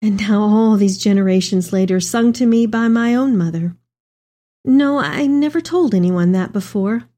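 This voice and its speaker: A woman's voice: medium pitch, moderate pace, dark tone, natural voice. A woman playing an elderly, tender mother reading her letter aloud in a reflective dramatic reading. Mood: confiding, wistful.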